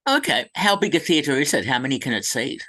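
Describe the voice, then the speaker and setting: A man's voice: mid-range voice, sing-song, brisk, bright, forceful tone. A man hosting an interview in a conversational style, asking questions and acknowledging answers. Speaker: interviewer, radio show host.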